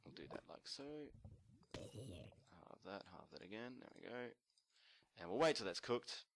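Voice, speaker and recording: A man casually narrating a building tutorial while playing, murmuring to himself and correcting himself as he goes, his voice a little croaky. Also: deep voice, expressive, a little slow, background noise. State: slightly croaky from screaming at a concert. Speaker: let's play host.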